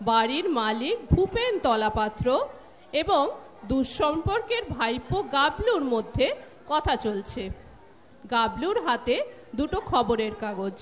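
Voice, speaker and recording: A woman's voice: high-pitched, a bit bright. A woman reading scripted narration for an audio drama. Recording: live hall, audience murmur, faint noise.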